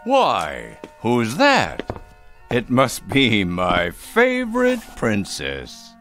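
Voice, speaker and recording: A man's voice: lowish voice, huge pitch swings, a bit bright, faint music behind, heavily acted. An actor playing Ben, a kindly, hesitant old man who dotes on a little girl, in a radio drama. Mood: fond. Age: older. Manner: slow, hesitant, fond.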